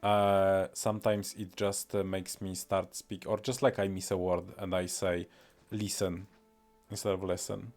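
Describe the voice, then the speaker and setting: A man's voice: low-pitched, level tone, measured pace, darkish tone, faint music behind. A man with a Polish accent, a native Polish speaker, streaming casually and rambling with many fillers and self-deprecating remarks. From Poland. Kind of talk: casual live-stream commentary.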